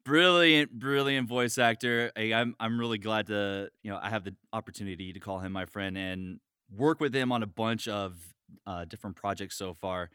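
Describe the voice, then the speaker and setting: Low, bright-voiced male podcast host. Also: normal speed, smooth, no room sound, clean studio sound. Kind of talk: casual chat.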